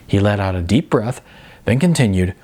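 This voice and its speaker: A man narrating fiction as an audiobook reader, in a dramatic, expressive and emphatic way. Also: on the low side, moderate pace.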